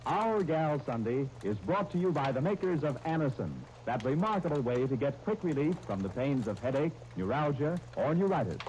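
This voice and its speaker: Lively 1930s radio announcer, male. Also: fairly high pitch, normal speed, few pauses, low-quality recording. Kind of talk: 1930s radio announcing, scripted narration and sponsor copy.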